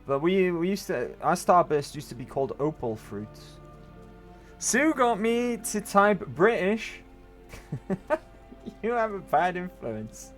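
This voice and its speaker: A man's voice: sits high, sing-song, slow, pauses often. Welsh guy rambling on a game stream, chatty, faint music under him. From Wales. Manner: rambling, chatty, answers chat. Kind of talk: casual gaming stream chat.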